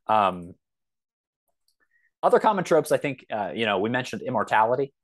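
A man in his thirties talking casually as a podcast guest, thinking aloud in a halting, thoughtful way. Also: medium pitch, sing-song, no room sound, studio quality.

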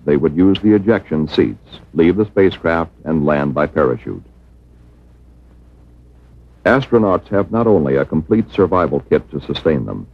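Deep-voiced American man narrating an old film. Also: dry recording. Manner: measured, formal delivery. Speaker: documentary narrator. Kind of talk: scripted educational film narration. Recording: muffled, worn 1960s film soundtrack.

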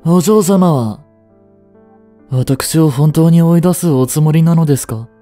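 音声ドラマで、丁寧な口調で感情の激しい、執着に狂っていく若い執事を演じる男性。. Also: male voice, a little high, unhurried, warm tone, dry recording, soft background music, close to an everyday voice. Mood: pleading, hurt. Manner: formal, polite servant speech, intensely emotional. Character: devoted young male butler turning obsessive and unhinged. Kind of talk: Japanese romantic situation voice drama.

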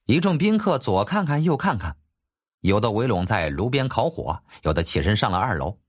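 一位男演播者以戏剧化的方式讲述历史小说，是照稿演播的有声书旁白。. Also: male voice, fairly low pitch, sing-song, normal speed, slightly rough, dry recording. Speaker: audiobook narrator. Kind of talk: dramatic scripted narration of a historical novel.

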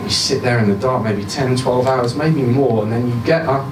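A man in his thirties reading a short story aloud to a live audience at a stand mic, giving the other speaker's lines a higher voice. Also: normal speed. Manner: voices the woman's lines higher, shifts to quiet and low near the end. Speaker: story reader. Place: small bar with audience, indoors. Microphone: stand mic, filmed from a far camera. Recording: roomy bar sound from a distance with background hum.

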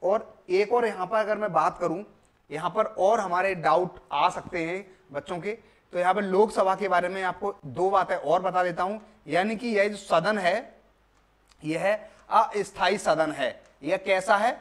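High-pitched young male teacher. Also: unhurried, a bit bright. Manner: repetitive, emphatic, asks and answers own questions. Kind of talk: classroom lecture on a video lesson. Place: indoor classroom at a board.

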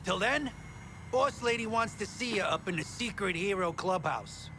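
A man's voice: high-pitched, expressive, moderate pace, pushed and bright, a little hiss or hum. A man voicing a small, crude gerbil inventor in a video game, brash, cocky and insulting. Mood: smug. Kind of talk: video game cutscene acting.

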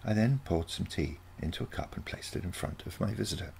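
Male narrator for short fiction: gravelly low register, expressive melody. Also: a little fast, a little hiss or hum. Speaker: story reader. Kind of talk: scripted short-story narration.

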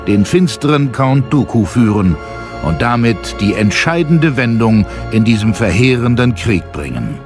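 A man narrating a space war audio drama in a solemn, measured, dramatic way. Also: medium pitch, very animated, music in the background. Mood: grave, dramatic. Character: grave storyteller of a space war saga.

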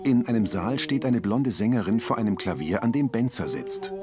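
A man's voice: medium pitch, expressive, normal speed, music in the background. A man reading scripted audio description, narrating the action on screen.

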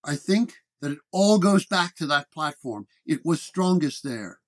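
A man playing a calm, measured, wry paranormal detective in a horror story. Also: fairly high pitch. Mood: confident, measured.

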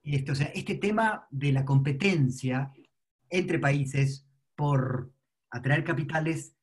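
A man's voice: a little high, slow pace, husky. A middle-aged man, speaking on an online panel in a wry, lecturing way. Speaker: seminar panelist. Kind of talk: online academic panel discussion. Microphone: laptop mic on video call.

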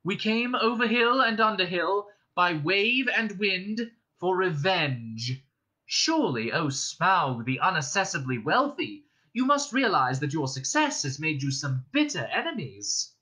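A man voicing a small, frightened male hobbit who flatters nervously in a squeaky voice, in a storybook reading. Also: unhurried, heavily acted, poor audio. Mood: defiant flattery.

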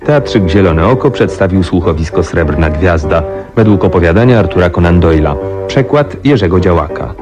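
A man's voice: low voice, lively intonation, moderate pace. A man reading scripted closing credits as a radio announcer. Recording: 1970s radio broadcast with loud music. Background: music.

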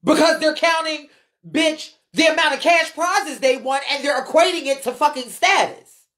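A woman with a fairly high voice speaks unscripted, as if to a livestream following. She is blunt and emphatic, peppers her talk with swearing and slang, and repeats phrases to hammer them in. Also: moderate pace, a bit bright. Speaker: livestream host, ballroom scene commentator.

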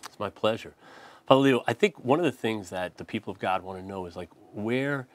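A middle-aged man hosts a religious TV interview show from a studio set. His voice is somewhat low, moving between a scripted introduction and easy questions with small restarts. A lapel mic keeps him close and clear. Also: fairly quick. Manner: scripted intro read, then warm conversational questions with restarts. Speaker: priest host. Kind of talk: TV interview hosting.

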